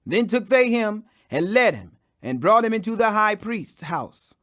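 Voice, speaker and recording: A man's voice: high-pitched, sing-song, a little slow, a bit bright. A man reading scripture as an audiobook narrator, solemn and emphatic, declaiming the quoted speech. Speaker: audiobook reader.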